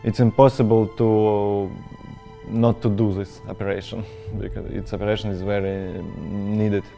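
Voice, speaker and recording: A man with a Russian accent speaking English in an interview, halting and searching for words. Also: fairly low pitch, little pitch movement, vocal fry, mellow, soft background music. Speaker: interviewee, film editor. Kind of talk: sit-down interview answers. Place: indoor studio space.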